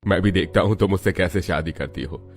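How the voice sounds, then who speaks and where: Stern cartoon patriarch, male actor, threatening and scolding, lowish register, music bed. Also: a little fast, creaky. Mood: angry, stern. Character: stern, proud wealthy father of a grown daughter. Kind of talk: cartoon family melodrama.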